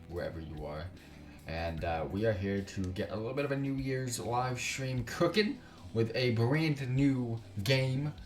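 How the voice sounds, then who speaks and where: Male gaming streamer, low-pitched, informal and sweary, casual chat with viewers, echoey room sound. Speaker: live streamer, gaming channel host. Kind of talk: casual live-stream chat with game text read aloud. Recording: roomy room echo, music behind. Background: music.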